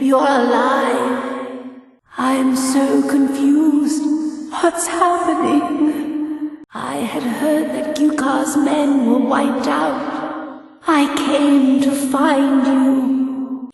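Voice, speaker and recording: Lost female spirit, high, plaintive, anxious, grieving, heavy ghostly reverb, fantasy game dialogue. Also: vocal fry, darkish tone, heavily acted. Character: confused, grieving female ghost searching for her lost loved one. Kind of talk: video game character dialogue. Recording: echoing, distant ghostly reverb.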